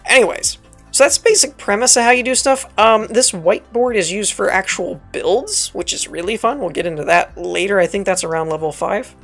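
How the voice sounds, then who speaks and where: A woman with a raspy, dry voice giving casual let's play commentary, reading game text aloud and correcting herself as she goes. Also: medium pitch, a little fast, a bit bright, faint music behind. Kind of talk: casual gameplay tutorial commentary.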